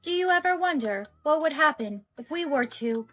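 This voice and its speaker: Woman as a high-voiced curious robot girl. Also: lively intonation, moderate pace, a bit bright, no room sound. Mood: restless. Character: naive curious robot girl questioning humans. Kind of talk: short comedic skit acting.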